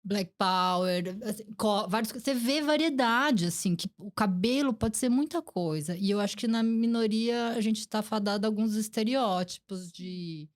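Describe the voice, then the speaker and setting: A woman's voice: medium pitch, moderate pace, few pauses. A woman in her forties from Brazil, hosting a casual interview podcast and reflecting in a chatty way. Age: 40s. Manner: chatty, reflective, many fillers like "gente" and "né". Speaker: podcast host.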